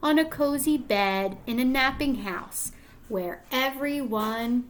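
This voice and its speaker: A woman with a fairly high voice reads aloud as a teacher to young children. She speaks very slowly and deliberately, with expressive phrasing, and prompts her listeners with simple questions. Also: slight background noise. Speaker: teacher reading a picture book aloud.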